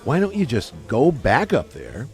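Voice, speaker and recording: Man acting a brash, very animated skeptical buddy. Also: medium pitch, a little slow, faint music behind. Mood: cajoling. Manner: joking, cajoling, colloquial. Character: brash, skeptical wisecracking buddy egging on his friend. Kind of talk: radio play acting.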